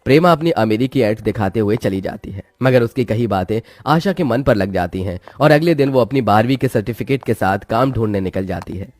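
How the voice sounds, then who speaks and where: A man's voice: on the low side, animated, a little fast, hardly any pauses, darkish tone, no room sound. A man reading a scripted moral story as its narrator, with music behind him.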